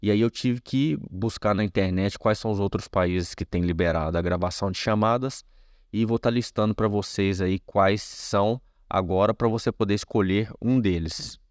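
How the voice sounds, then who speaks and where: A man's voice: on the low side, normal speed, darkish tone, dry recording, studio quality. A man with a Brazilian accent hosting a casual step-by-step tech tutorial, explaining informally and speaking directly to viewers.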